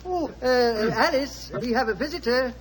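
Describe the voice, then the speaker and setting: A man's voice: very high-pitched, normal speed, slight background noise, rough recording. A man playing an irritable, jealous, scheming husband in a 1940s radio drama, brusque and blustering. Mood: uneasy. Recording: vintage radio recording.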